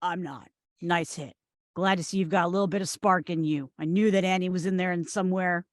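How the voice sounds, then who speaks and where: A woman in a comedic script read, playing a blunt, pushy, oddball friend with swaggering confidence and emphatic, deadpan delivery. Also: fairly low pitch, a little fast, no room sound, clean studio sound, close to an everyday voice. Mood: earnest boasting. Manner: emphatic, forceful, deadpan boasting. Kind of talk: screenplay table read, comedic acting.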